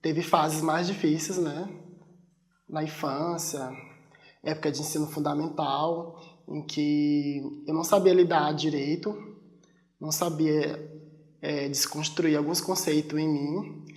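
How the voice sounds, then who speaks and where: A man's voice: a little high, fairly even intonation, takes it slowly, darkish tone. A Brazilian man in his late twenties telling personal stories in a casual interview. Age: 20s. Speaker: documentary interviewee.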